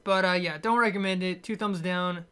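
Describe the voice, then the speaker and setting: A woman's voice: fairly low pitch, fairly even intonation, moderate pace, fairly bright tone, clear, resonant tone. A woman giving a casual, rambling film review in a blunt, opinionated way. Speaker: movie reviewer.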